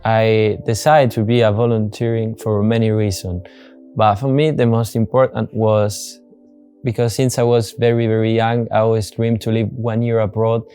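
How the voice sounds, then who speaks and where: A man's voice: lowish voice, little pitch movement, moderate pace, mellow, smooth, soft background music. A man in his twenties from Italy, speaking with an Italian accent, earnestly describing his volunteer work in simple, non-native English. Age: 20s. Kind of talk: interview testimonial.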